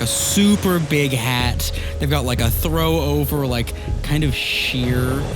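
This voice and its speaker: A man who plays in a tabletop game, describing his character in informal, self-correcting talk. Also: mid-range voice. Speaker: player in a tabletop RPG.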